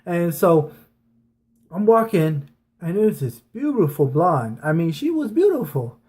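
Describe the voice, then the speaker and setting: Guy w a kinda high voice just rambling thru a story for his channel, super casual and slangy. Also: big pitch swings, measured pace, a little mellow, slightly rough. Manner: informal, rambling, slangy, sprinkled with swearing. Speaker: online storyteller, channel host. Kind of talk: casual personal storytelling to viewers.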